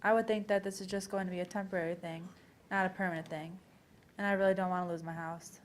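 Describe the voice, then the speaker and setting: A woman's voice: fairly low pitch, steady tone, fast talker, close to an everyday voice. A young woman performing a scripted readers theater piece, reading aloud with occasional stumbles. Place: college library event. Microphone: worn microphone.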